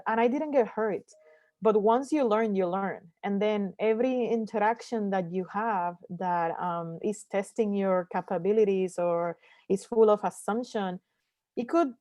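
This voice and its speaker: A woman from Puerto Rico whose first language is Spanish, speaking earnestly as a panelist in an online discussion, often pausing with fillers and restarting sentences. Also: medium pitch, warm tone, no room sound. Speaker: panelist, scientist.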